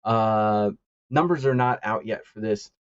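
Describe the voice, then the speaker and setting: Low-voiced man, halting with fillers. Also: dry recording, muffled recording. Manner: halting, many uh fillers, chuckles often. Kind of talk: casual call-in chat. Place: lives in East Asia.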